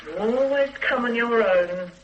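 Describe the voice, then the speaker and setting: A woman's voice: fairly high pitch, a little slow, dull audio, close to an everyday voice. A woman playing a lonely, complaining elderly mother in a radio drama, self-pitying and reproachful. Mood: plaintive. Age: older. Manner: self-pitying, guilt-tripping.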